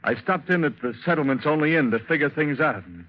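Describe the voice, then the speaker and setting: A man's voice: on the high side, animated, a little fast, natural voice. A man playing a weary, haunted shipwrecked sailor, telling his ordeal sombrely in a 1940s radio drama. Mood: weary, grim. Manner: sombre first-person storytelling. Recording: dull, muffled 1940s radio recording.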